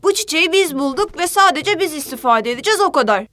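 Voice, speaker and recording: A woman voicing a stubborn, selfish and bossy young sea creature in a children's cartoon, defiant and quick to argue. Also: sits high, expressive, fairly bright tone, no echo. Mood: bossy, firm. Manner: defiant, argumentative, quick to take offense.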